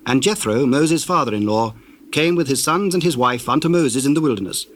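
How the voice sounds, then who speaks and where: A man narrating a dramatized scripture reading in a solemn, formal and measured storytelling manner. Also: mid-range voice, expressive, a little hiss or hum.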